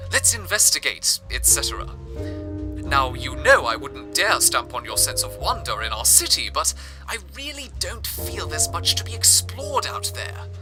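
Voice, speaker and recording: A performer playing a theatrical, sentimental city radio host in an amateur audio drama, with florid phrasing. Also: medium pitch. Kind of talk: amateur radio drama, scripted broadcast narration.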